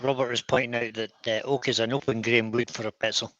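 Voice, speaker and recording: A man's voice: mid-range voice, little pitch movement, brisk, fairly bright tone, muffled recording. A man co-hosting a live stream, reading out viewer comments and trading jokes. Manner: relays chat messages, joking banter. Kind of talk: casual chat.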